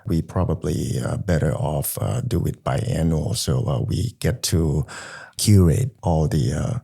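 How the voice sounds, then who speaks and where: Middle-aged male guest, very low register, halting delivery full of repeats. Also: gravelly, warm tone, slightly rough. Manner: hesitant, frequent repeats and "uh" fillers. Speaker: type foundry founding partner, guest. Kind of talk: casual podcast conversation.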